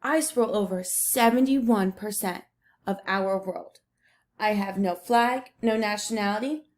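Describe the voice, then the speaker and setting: A woman's voice: mid-range voice, unhurried, close to an everyday voice. A woman voicing the ocean as a laid-back, boastful surfer dude in a picture book read aloud. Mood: proud, laid-back. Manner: slangy, breezy surfer talk.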